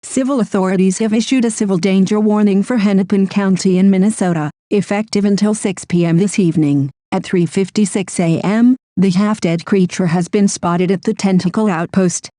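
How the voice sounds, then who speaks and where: A woman's voice: medium pitch, warm tone, no room sound, clean studio sound. A woman reading a scripted emergency warning as an alert announcer.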